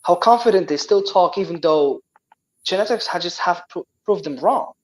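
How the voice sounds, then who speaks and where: A young man with a Moroccan accent and Tamazight as his first language talks in a casual chat. He is animated and often restarts and repeats words. His voice sits a bit high for a man. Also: moderate pace, poor audio. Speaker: guest.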